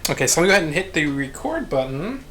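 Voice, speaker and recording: A man's voice: a little high, big pitch swings, racing, a bit bright, slight background noise. A man hosting a script reading, speaking casually as he banters and makes announcements.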